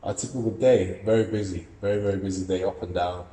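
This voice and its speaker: A man's voice: sits low, fairly even intonation, quick pace, reverberant, music in the background, poor audio. A young man from Russia, an amateur boxer and student, giving earnest, reflective interview answers with many fillers and restarts. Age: young adult.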